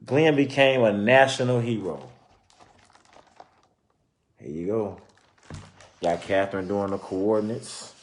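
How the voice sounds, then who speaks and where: A man's voice: fairly low pitch, slow, pauses often, a little hiss or hum. A man reading a children's book aloud, breaking off into informal, conversational explanations and repeating points. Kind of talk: read-aloud with casual explanatory asides.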